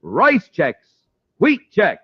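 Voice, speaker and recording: A man's voice: high-pitched, wildly swooping pitch, very slow. A man with an American accent announcing and narrating in an emphatic, showy 1950s radio style. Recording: muffled, faintly hissy old radio.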